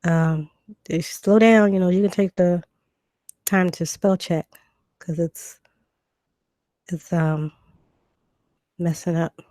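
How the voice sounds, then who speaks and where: Hoarse woman chatting on a livestream. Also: on the low side, steady tone, takes it slowly, pauses often, warm tone, no room sound. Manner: conversational, reads comments aloud and answers them, frequent ums. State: hoarse from a cold. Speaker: livestream host answering viewer comments. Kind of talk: casual live stream chat.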